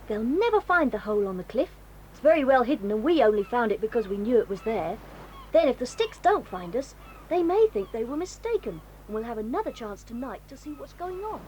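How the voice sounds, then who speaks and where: A woman's voice: on the high side, darkish tone, a little hiss or hum, low-quality recording. A woman voicing a brave, decisive boy leader in a 1980s audio drama. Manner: firm, decisive.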